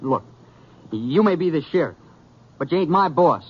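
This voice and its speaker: A man's voice: a little high, lively intonation, moderate pace, slightly rough. An actor playing a stubborn young man of the Old West in a radio western, with an American accent and rural drawl. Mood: defiant. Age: young adult. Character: headstrong, defiant young cowboy, sheriff's younger brother. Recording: muffled vintage radio recording.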